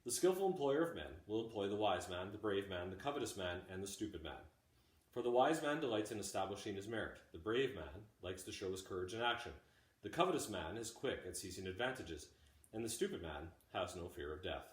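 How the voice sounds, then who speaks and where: A man reading a classic text aloud like a teacher, in measured, deliberate phrases. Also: lowish voice, expressive. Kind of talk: audiobook-style read-aloud of a classic text. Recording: roomy, echoey home recording.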